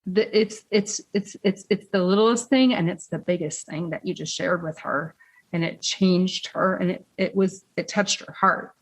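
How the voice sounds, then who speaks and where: A woman's voice: fairly low pitch, steady tone, moderate pace, slightly rough, poor audio. A woman who is an executive coach, a podcast guest talking reflectively and earnestly, sometimes stammering with repeated words. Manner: earnest, stammering repeats when reaching for a thought. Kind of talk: reflective conversation.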